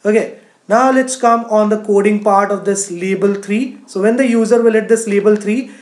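A woman's voice: medium pitch, moderate pace, a little mellow. Echoey Indian woman teaching coding. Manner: explanatory, frequent "you know". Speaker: coding instructor. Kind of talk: screencast tutorial narration. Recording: roomy, echoing voice-over.

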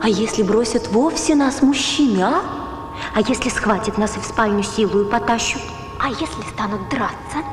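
A woman's voice: fairly high pitch, moderate pace, close to an everyday voice. An actress playing a bold, persuasive ancient Greek woman leading a revolt, declaiming verse theatrically. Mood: scheming. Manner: declamatory, rhetorical. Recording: echoey hall sound with music behind.

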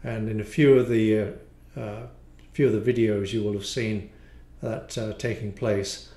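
A man in his sixties giving an explanatory, semi-improvised product demo in a measured, hesitant manner with frequent pauses. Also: on the low side, fairly even intonation, dark tone. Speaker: module designer presenting a demo. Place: small home studio room, indoors. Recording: roomy, echoey home room.

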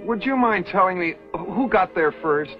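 An actor playing a scheming young pioneer with a wry, sarcastic manner, on a dull old TV soundtrack with music. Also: male voice, high voice, expressive, moderate pace. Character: scheming young male pioneer chasing fortune. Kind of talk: 1950s TV western acting.

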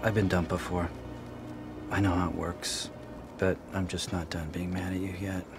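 Jilted, sarcastic thirties man, deep-voiced, film drama with score behind. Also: little pitch movement, normal speed. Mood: irritated, hurt. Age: 30s. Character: irritable, jilted man in a suit, tense and sarcastic. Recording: film audio with music underneath.

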